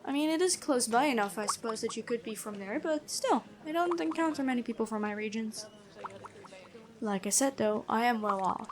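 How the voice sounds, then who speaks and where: A woman playing a stern but kind, noble-born prison guard in a fantasy ASMR roleplay, talking in a measured, conversational way. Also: on the high side, animated, background noise, close to an everyday voice. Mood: calm, matter-of-fact.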